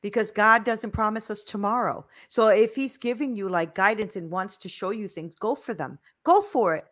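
A woman hosting an interview podcast, encouraging her guest with steady affirmations and asking questions. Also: mid-range voice, normal speed. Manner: encouraging, frequent affirmations, preachy asides. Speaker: podcast host. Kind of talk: casual interview talk.

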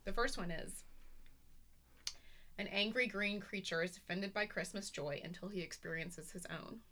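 A woman co-hosting a casual chat podcast, husky after an illness and hesitant with many ums. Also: medium pitch, moderate pace, sharp tone. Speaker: podcast co-host, playwright.

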